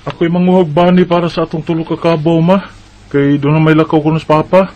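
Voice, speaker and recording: A man's voice: fairly high pitch, level tone, fast talker, darkish tone, lo-fi sound, lightly acted. A man playing a dutiful, earnest young farm son in a radio drama, speaking Bisaya with a Cebuano accent. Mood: earnest, obedient. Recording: noisy amateur recording with clicks.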